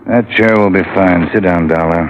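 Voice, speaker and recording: An actor playing a sardonic, commanding crime boss in an old radio drama, curt and mocking. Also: male voice, low-pitched, moderate pace, close to an everyday voice. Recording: muffled, noisy old radio recording.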